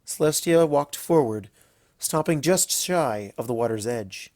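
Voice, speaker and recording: Slow-paced man narrating a story. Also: mid-range voice, animated, a little mellow, dry recording, clean studio sound. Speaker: fan fiction narrator.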